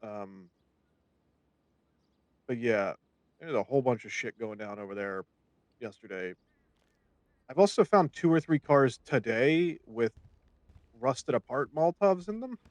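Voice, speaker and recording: A man's voice: medium pitch, lively intonation, measured pace, pauses often, no echo. Man, casual and rambling, swears freely, dry close sound. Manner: loose, rambling, casually profane. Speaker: fellow roleplayer on a call. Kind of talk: casual chat.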